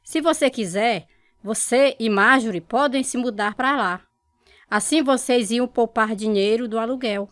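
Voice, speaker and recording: An actress playing a kindly, generous widowed mother-in-law in a radio drama, speaking gently. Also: a little high, measured pace, dry recording, studio quality, natural voice.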